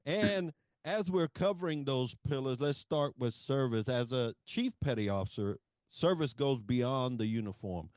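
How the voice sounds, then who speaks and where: A man's voice: mid-range voice, expressive, unhurried, no room sound. Middle-aged guy hosting a podcast, reads his questions out, dry close mic. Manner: warm formal introductions, reads prepared questions. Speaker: podcast host.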